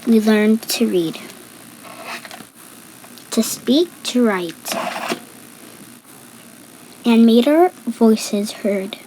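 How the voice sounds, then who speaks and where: Female storybook reader, higher-pitched, unhurried and deliberate, some background noise. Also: expressive, warm tone, rough recording. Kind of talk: picture book read-aloud.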